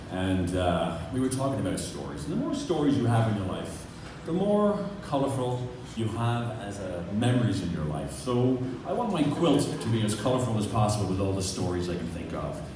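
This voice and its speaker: A man's voice: fairly low pitch, big pitch swings, brisk, few pauses. A man with an Irish accent telling a personal story live, in a dramatic, conversational way. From Dublin, Ireland. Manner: dramatic, conversational, uses profanity and rhetorical questions. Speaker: amateur storyteller at a storytelling night. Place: live event room. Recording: very echoey room, distant mic, clearly noisy.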